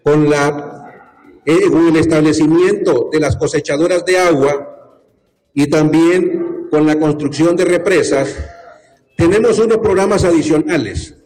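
A man, a government minister, answering a reporter's question at a press conference in a deliberate, formal way. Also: on the high side, darkish tone, low-quality recording.

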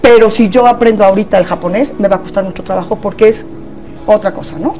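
A woman's voice: mid-range voice, fast, warm tone, faint music behind. A woman with a Mexican accent, a therapist guest in a casual panel chat, talking in a chatty way with many tag questions.

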